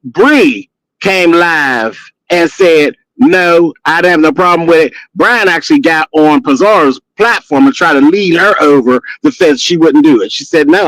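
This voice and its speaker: Sassy high-voiced man in his fifties. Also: measured pace, fairly bright tone, no room sound, low-quality recording. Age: 50s. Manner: sassy, blunt, gossipy with cussing. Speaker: guest on a live stream. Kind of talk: casual chat and storytelling.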